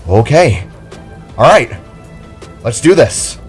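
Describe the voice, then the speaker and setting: A man voicing an excitable, brash young armored hero in an audio drama, animated and exclamatory. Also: mid-range voice, slow pace. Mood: determined. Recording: background music under the voice.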